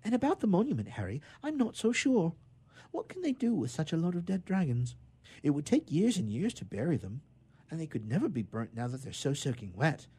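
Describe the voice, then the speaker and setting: A man voicing an earnest, excitable young girl adventurer in a storybook reading. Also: on the high side, fairly quick, husky, voice pushed up. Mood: doubtful, earnest. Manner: excitable, emphatic speech in storybook phrasing.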